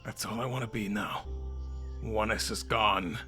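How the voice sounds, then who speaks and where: A man's voice: fairly low pitch, fairly even intonation, unhurried, sharp tone, soft background music. A man playing a laid-back, wry skeleton with a casual, dry, needling way of talking in a fan comic dub. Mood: grave, blunt.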